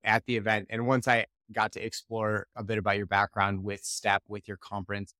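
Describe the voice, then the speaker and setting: A man's voice: on the low side, monotone, a little fast, pushed and bright, dry recording, clean studio sound. A man in his thirties hosting a podcast, talking in an upbeat, fluent way as he introduces and questions his guest. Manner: upbeat, fluent, fond of intensifiers. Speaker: podcast host.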